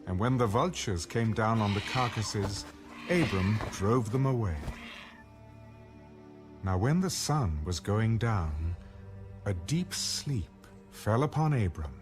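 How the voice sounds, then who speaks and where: A man narrating a dramatized Bible reading in a measured, solemn storytelling manner. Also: lowish voice, expressive. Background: music.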